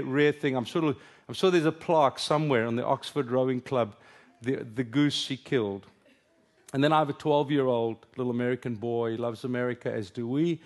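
Afrikaans-accented man preaching, middle-aged. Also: mid-range voice. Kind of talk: conference sermon and prayer.

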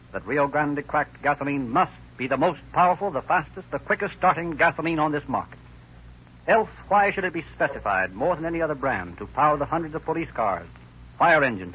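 A man's voice: on the high side, fairly bright tone, muffled recording, slight background noise. A man announcing and narrating from a script in a formal, declamatory 1930s radio style.

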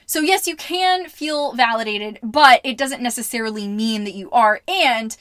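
Upbeat, slightly hoarse woman podcaster. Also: fairly high pitch, normal speed, fairly bright tone, no room sound, clean studio sound. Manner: upbeat, chatty, lots of "like". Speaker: podcast host and life coach. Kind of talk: solo podcast talk.

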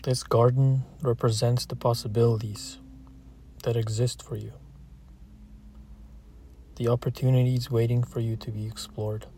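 Mellow, even-toned male meditation guide. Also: mid-range voice, a little hiss or hum. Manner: calm, soothing, gentle, with long pauses between short phrases. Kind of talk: slow guided meditation, scripted narration.

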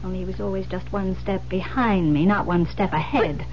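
A woman's voice: lowish voice, normal speed, lightly acted. An actress playing a sadistic escaped madwoman killer in an old radio thriller, taunting and condescending with menace. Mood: gloating, mocking. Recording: dull, noisy old radio recording.

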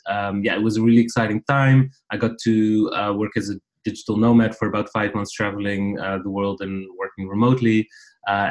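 A man in his thirties, raised in the Netherlands, talks as a guest over a remote call. His voice sits fairly low, and he speaks in a steady, thoughtful way with frequent "uh" fillers. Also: rough recording. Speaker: podcast guest. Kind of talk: casual interview chat.

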